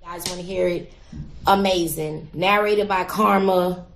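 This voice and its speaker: A woman's voice: lowish voice, steady tone, unhurried, vocal fry, slight background noise. A woman in a played video clip giving a chatty, blunt book review and laughing at her own lines.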